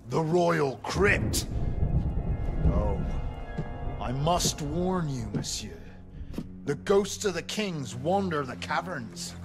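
An actor playing a surly, superstitious tavern keeper in a video game, ominous and dramatic. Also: male voice, on the high side, animated, slow pace, long pauses. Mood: ominous warning. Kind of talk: video game cutscene acting. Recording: music underneath.

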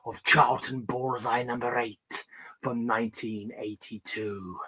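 Slow, husky ghoulish horror host. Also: male voice, medium pitch, fairly even intonation, sharp tone. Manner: playfully ghoulish, slow and deliberate. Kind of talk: macabre hosting.

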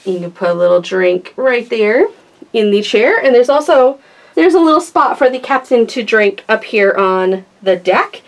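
A woman's voice: a little high, expressive, moderate pace. A woman hosting a casual toy review, talking through it in an enthusiastic, gushing manner. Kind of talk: casual unboxing and demonstration chat.

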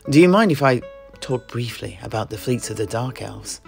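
A man's voice: mid-range voice, animated, normal speed, gravelly, faint music behind. Genial older man lecturing as host. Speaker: host, tavern keeper. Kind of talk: in-character audio drama hosting.

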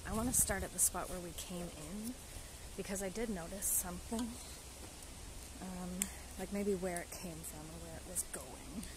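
A young woman vlogging outdoors in a forest, chatting casually to the camera in a relaxed, soft-spoken way as she thinks aloud. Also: fairly low pitch, normal speed. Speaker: bushcraft vlogger. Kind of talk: casual vlog chat to camera. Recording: outdoor recording with clear background noise.

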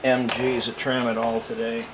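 A man with an average-pitched voice drops in casual remarks as a companion. It sounds like homemade camcorder audio from indoors, with clear noise throughout. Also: flat delivery, normal speed. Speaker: companion chiming in. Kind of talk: casual chat. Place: room indoors. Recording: noisy home camcorder audio.

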